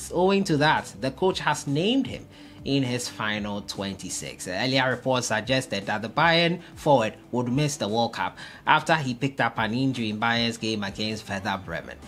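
A young man presenting football news to camera, in a steady reporting manner with frequent "uh" fillers. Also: mid-range voice, moderate pace. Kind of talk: solo news vlog to camera. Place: indoor room before a stone-pattern wall.

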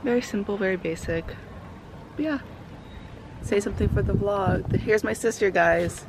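Bubbly young woman, slightly raspy. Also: medium pitch, normal speed. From United States. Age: 20s. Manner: bubbly, chatty. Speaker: vlogger. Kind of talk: casual travel vlog. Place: outdoors on a city balcony and streets. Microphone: handheld camera at arm's length. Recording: noisy street ambience.

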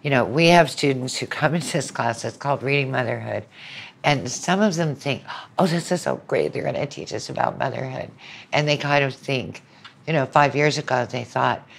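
A woman's voice: extremely low pitch, moderate pace, creaky. A woman lecturer speaking as a podcast guest, explaining things in a conversational way.

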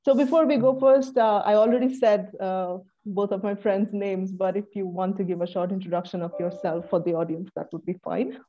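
Young woman from india, host, mellow voice, polite and hesitant, dull video-call sound. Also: medium pitch, steady tone, brisk, hardly any pauses. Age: young adult. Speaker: podcast host and PhD student. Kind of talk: hosting a video-call podcast.

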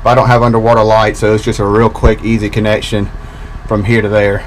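Man, 30s, casual how-to talk, relaxed, lots of ums, action camera, outdoors, faint background noise. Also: lowish voice, fast talker, low-quality recording. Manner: relaxed, explaining step by step with fillers. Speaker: boat DIY vlogger. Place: outdoors on a boat in a backyard.

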